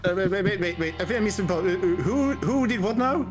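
A man's voice: sits high, animated, quick pace. A man chatting casually on a game stream, hesitant and full of restarts. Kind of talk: casual chat. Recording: game music behind.

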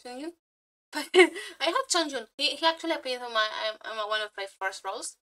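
A woman reacting casually and excitedly as a streamer, gushing, exclaiming and swearing freely. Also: high-pitched, fairly quick. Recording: music playing behind. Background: game trailer music.

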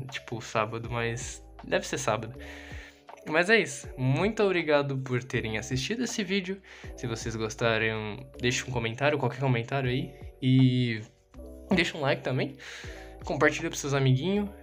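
A man's voice: medium pitch, lively intonation, normal speed, fairly bright tone, soft background music. A young man with a Brazilian Portuguese accent, chatting casually and self-deprecatingly while filming a tour of his bedroom on a handheld phone. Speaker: youtuber. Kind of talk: casual room tour vlog. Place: small bedroom with red LED light. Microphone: phone camera held in hand.

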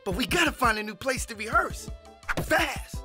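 An eager, upbeat cartoon tween boy who gets excited fast. His high voice is lively, with music underneath. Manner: excited, slangy.

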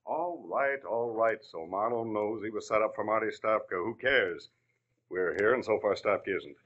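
A scheming, smooth-talking crook in a vintage radio crime play. His voice is low, his manner cocky and brusque with a cajoling streak. The recording sounds muffled. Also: normal speed, a bit bright. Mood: impatient, dismissive. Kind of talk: 1940s radio acting.

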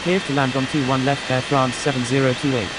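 A woman's voice: a bass voice, little pitch movement, a little fast. A woman playing a matter-of-fact airport radio operator in a simulation game, giving clipped, formulaic aviation calls. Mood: businesslike. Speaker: air traffic control and pilot radio voice. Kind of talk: scripted aviation radio phraseology. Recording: hissy, clearly noisy radio-style audio.

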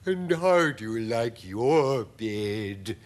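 A man's voice: mid-range voice, animated, very slow. A man voicing an enormous female spider in a storybook, polite, caring and attentive.

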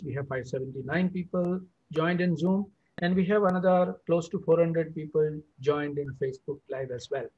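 A man's voice: on the high side, a little mellow. Male host, middle-aged, upbeat moderator, lo-fi video call sound. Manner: upbeat announcing with fillers. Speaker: event host. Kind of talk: webinar moderating. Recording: dull video call audio.

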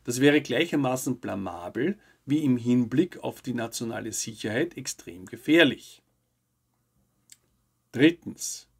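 A man in his fifties from Austria hosting a weekly news show, giving scripted commentary to camera in measured, formal sentences. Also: mid-range voice, animated, gravelly, slightly rough.

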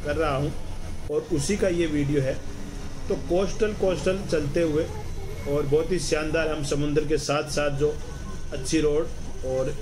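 A man's voice: a little high, steady tone, unhurried, background noise. A man from Rajasthan narrating a travel vlog voice-over, enthusiastic and explaining things at length with many repeats. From Rajasthan, India. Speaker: travel vlogger.

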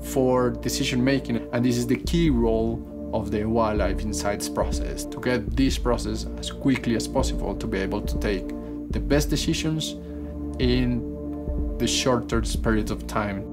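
A man's voice: mid-range voice, moderate pace, over loud music. A man explaining in an earnest documentary interview, with the odd hesitant restart.

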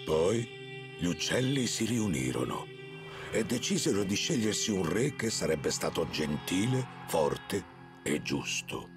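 A man playing a wise, kindly old bear who tells tales in a children's cartoon, with a gravelly, expressive voice. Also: deep voice, unhurried, over music. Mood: solemn storytelling. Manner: storytelling, expressive.

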